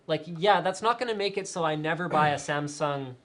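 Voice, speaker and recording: A man's voice: a little high, fairly quick. A man hosting a live tech show, chatting casually and answering viewer questions with lots of fillers. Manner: energetic, chatty, many fillers.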